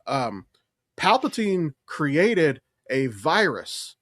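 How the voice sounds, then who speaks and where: A man's voice: fairly high pitch, big pitch swings, drawn-out words, fairly bright tone, no echo, clean studio sound. A man co-hosting a podcast, talking casually and emphatically like an opinionated, enthusiastic fan explaining things. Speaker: podcast co-host.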